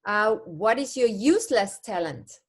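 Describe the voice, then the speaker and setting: A woman's voice: mid-range voice, lively intonation, a little slow, breathy edge. A woman hosting a casual chat show and putting quiz questions to a guest. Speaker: talk show host.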